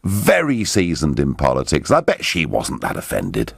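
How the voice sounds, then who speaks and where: A man's voice: a bass voice, wildly swooping pitch, moderate pace, gravelly, slightly rough, dry recording, studio quality. A man hosting a radio phone-in, pressing callers on their views with a teasing, assertive manner. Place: radio studio.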